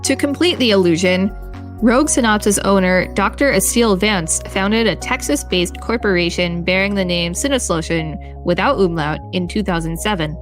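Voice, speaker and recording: A woman reads an encyclopedia entry aloud as a podcast co-host, careful and measured. Her pitch is ordinary for a woman. Soft music sits faintly behind her. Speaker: podcast co-host reading an article. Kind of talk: reading an encyclopedia article aloud.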